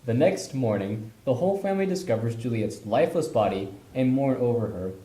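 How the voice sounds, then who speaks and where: A man's voice: mid-range voice, lively intonation, a little fast, noisy background. A man giving scripted narration for an amateur puppet show. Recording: roomy echo, faint music.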